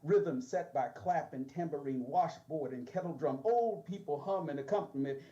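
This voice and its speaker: A man's voice: on the high side, normal speed, mellow. A man performing spoken word poetry in a declamatory manner, heard as a video played back at a coffee shop. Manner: declamatory, rising dramatically. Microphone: played through a TV monitor. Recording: dull video playback over speakers.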